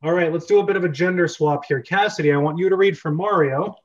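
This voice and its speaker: A man's voice: a little high, quick pace. A young man casually directing a script reading as host and reading out stage directions on a group video call. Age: young adult. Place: small bedroom, on a video call. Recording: dull lo-fi video-call audio.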